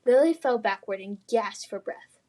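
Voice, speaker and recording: A woman reading children's fantasy fiction aloud in expressive, scripted narration. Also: fairly high pitch, moderate pace. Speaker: storybook reader.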